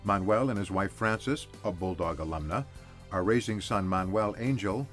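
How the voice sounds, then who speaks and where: Male narrator, low-ish, steady scripted tribute read, light music bed. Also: normal speed. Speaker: video narrator.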